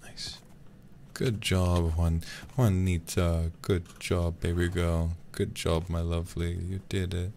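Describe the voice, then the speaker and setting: Deep, gravelly, soft-spoken male streamer. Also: level tone, takes it slowly. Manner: murmuring, often dropping into whispers, talking to himself. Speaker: livestreamer. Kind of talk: soft, casual ASMR gaming stream chat.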